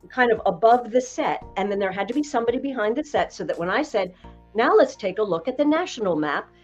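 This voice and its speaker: A woman in her sixties, a voice coach and former TV newswoman, telling stories in an interview in a measured, emphatic way. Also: medium pitch, muffled recording. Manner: measured, emphatic, tells anecdotes. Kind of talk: conversational interview storytelling.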